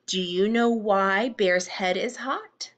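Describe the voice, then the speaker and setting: A woman giving a read-aloud lesson for young children, explaining and questioning in simple, expressive phrases. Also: mid-range voice, slow pace. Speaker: teacher reading aloud to children.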